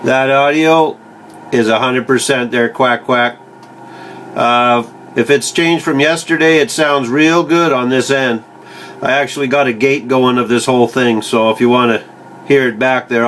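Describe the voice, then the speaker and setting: A man from Canada talks casually as a CB radio operator. He has a mid-pitched voice and a folksy way with call signs and stock sign-offs. There is faint noise in the room recording. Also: fairly even intonation, moderate pace, fairly bright tone. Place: indoors beside a CB radio set.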